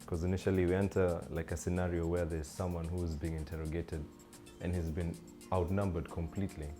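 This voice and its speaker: A young man from Kenya talks in a very low, dark voice that stays level. He is thoughtful and pauses to search for his words, with faint music behind him. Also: flat delivery. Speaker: student actor. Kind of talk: interview.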